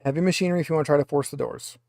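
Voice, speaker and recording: A man with a slightly high voice acts as game master for a tabletop roleplaying game. His delivery is halting, as if thinking aloud, and the sound is dry, with very little room to it. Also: fairly even intonation, vocal fry, darkish tone, no room sound.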